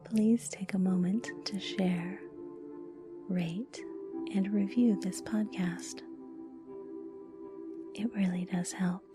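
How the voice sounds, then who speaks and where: A woman whispering a scripted ASMR podcast outro in a breathy voice, with music behind her. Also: medium pitch, steady tone, slow, pauses often. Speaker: podcast announcer.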